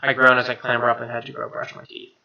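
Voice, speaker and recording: A man's voice: mid-range voice, monotone, fast, creaky, lo-fi sound. A man streamer reading a first-person visual novel aloud as narration. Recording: music behind the voice.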